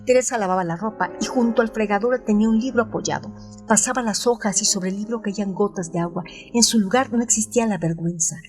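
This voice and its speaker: Expressive woman narrator over soft music. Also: mid-range voice, quick pace. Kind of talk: scripted audiobook narration.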